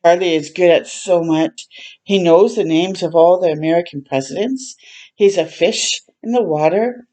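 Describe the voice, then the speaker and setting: A woman reads a picture book to children as a storytime host. Her voice sits a little low and has a very mellow, relaxed tone. She is gentle and expressive, adding tender asides to her listeners. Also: normal speed. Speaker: children's read-aloud host.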